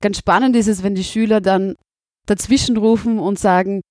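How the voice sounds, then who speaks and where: A woman's voice: medium pitch, fairly even intonation, moderate pace, darkish tone, dry recording, clean studio sound. A woman teacher from Burgenland with an Austrian accent, answering interview questions in a thoughtful, candid way.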